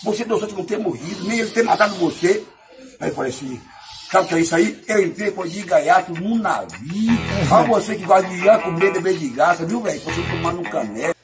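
A man with a Brazilian accent telling a comic anecdote in casual chat, excitable and repetitive, acting out sound effects and dialogue. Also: sits high, fast talker, music in the background. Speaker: comic storyteller.

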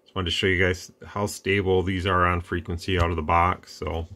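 A man hosting a casual radio demo at his workbench, talking in a relaxed, plain-spoken way with rambling asides. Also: deep voice, moderate pace. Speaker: radio repair channel host.

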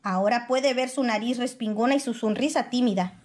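A woman with a high voice reads a children's picture book aloud. Her delivery is expressive storybook narration. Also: a little fast.

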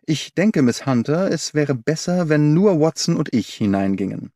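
A man's voice: a little high, very animated, moderate pace, mellow, no room sound, clean studio sound. A man voicing a brilliant, confident detective in an audiobook, explaining in an assured, didactic way. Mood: brisk, commanding. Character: brilliant male detective, confident, analytical, commanding.

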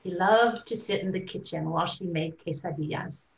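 A woman's voice: on the low side, moderate pace, vocal fry. An older woman, a guest author, reading a children's picture book aloud on a video call. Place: indoor room with bookshelves, video call. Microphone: computer microphone on a video call. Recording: roomy, echoey video call audio.

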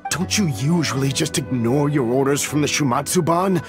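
Man acting as middle-aged male official, somewhat high voice, anxious, flustered, pleading, earnest, video game character, music behind. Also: normal speed, lightly acted. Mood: doubtful, dismayed.

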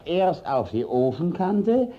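An actor playing a shifty, blustering village judge in a radio play, hesitating and stalling evasively. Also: male voice, high voice, sing-song, slow, noisy background, full character voice. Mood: flustered, evasive. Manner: hesitant, evasive, stammering with fillers. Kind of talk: classic German radio play acting. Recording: dull old 1950s radio recording.

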